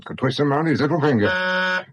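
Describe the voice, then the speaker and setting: An actor playing a shrewd, wry British detective sergeant in a 1970s radio drama, deferential yet needling. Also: male voice, fairly high pitch, lively intonation, moderate pace, a bit bright, husky, dull audio.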